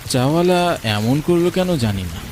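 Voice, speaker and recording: A man's voice: on the high side, big pitch swings, moderate pace, a little mellow. A man reading a scripted first-person story as its narrator. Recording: clearly noisy, rain and thunder behind. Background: rain and thunder sound effects.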